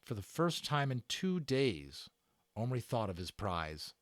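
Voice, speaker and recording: A man giving an expressive, dramatic read-aloud of a children's book as narrator. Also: medium pitch, measured pace, dry recording, clean studio sound. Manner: expressive, performed reading. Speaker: narrator and voice actor.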